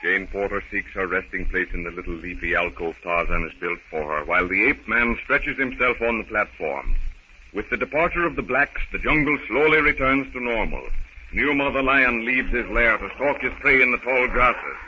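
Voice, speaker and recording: Male narrator for vintage jungle serial: forceful, declamatory, florid delivery on scratchy narrow-band radio. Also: fairly low pitch, moderate pace. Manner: dramatic, declamatory storytelling. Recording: scratchy, band-limited 1930s radio recording.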